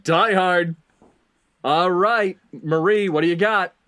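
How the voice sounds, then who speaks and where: A man's voice: high-pitched, takes it slowly, bright, forceful tone, clear, resonant tone. A man hosting a quiz game, reading out clues like an announcer and repeating answers back amid casual banter. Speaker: game show host.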